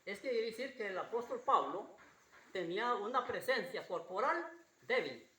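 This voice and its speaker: A preacher with a slightly husky voice gives an informal Bible sermon in a halting, repetitive, homespun way. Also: mid-range voice, animated, pushed and bright. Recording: roomy echo with faint background noise.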